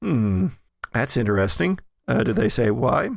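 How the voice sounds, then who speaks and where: A man narrating as game master in a tabletop role-play, halting, with many fillers. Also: medium pitch, very animated, vocal fry, dry recording, clean studio sound.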